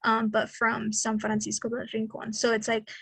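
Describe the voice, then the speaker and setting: Young woman podcast co-host, fairly high husky voice, dry close mic, reflective and rambling. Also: completely flat delivery, normal speed, fairly bright tone, no room sound. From Mexican American. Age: 20s. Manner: reflective, rambling, many fillers. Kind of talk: casual conversation.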